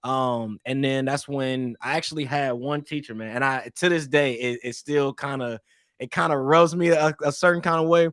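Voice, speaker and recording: A young man from East St. Louis, talking loose and easygoing as an interview guest. He keeps circling back, restarting sentences and dropping "man" every few lines. His voice sits at an everyday pitch and sounds close and dry, with no room around it. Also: normal speed, dry recording. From East St. Louis, Illinois. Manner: relaxed, rambling, peppered with "man" and restarts. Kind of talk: casual interview storytelling.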